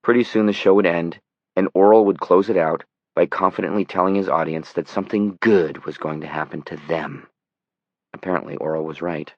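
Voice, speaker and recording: A deep-voiced man narrates dramatic fiction in audiobook style. The recording is very dry and slightly muffled. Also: steady tone, a little fast, no room sound.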